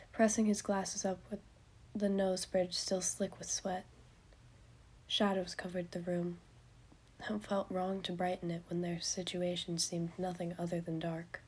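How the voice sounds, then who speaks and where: Husky woman reading a story. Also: lowish voice, a little mellow. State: getting over a cold. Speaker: podfic reader. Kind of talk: reading fan fiction aloud.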